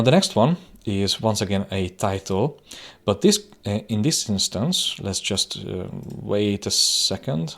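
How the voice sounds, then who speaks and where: Low-voiced man. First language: Hungarian. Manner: relaxed, hesitant, frequent "uh" fillers and restarts. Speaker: web design instructor. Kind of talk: screen-recording software tutorial.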